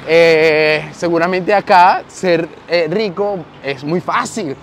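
A young Venezuelan man talks to his camera while he walks outdoors, animated and storytelling, his voice sitting high for a man. A lapel mic keeps him close and clear, and a faint hum of traffic and street noise stays underneath. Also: slow pace. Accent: Venezuelan Spanish. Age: young adult. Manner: animated, gestures while walking and talking to camera. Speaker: vlogger. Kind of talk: walking vlog storytelling. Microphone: lapel mic on shirt, handheld camera.

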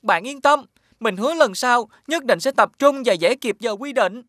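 An actress voicing an eager young schoolchild in a children's cartoon, childlike and wheedling. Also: female voice, fairly high pitch, moderate pace, a bit bright, no room sound, studio quality. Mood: amazed, grateful.

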